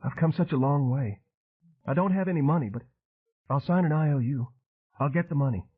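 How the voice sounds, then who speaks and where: Brief: pleading young woman, put on by a male reader, pitched up high, tinny phone sound. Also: brisk, breathy edge. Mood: anxious pleading. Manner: anxious and pleading.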